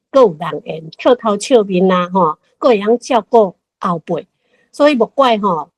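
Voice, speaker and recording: A woman's voice: medium pitch, a little mellow, no room sound. A woman with a Taiwanese accent giving a prepared, reflective commentary on a story as a guest.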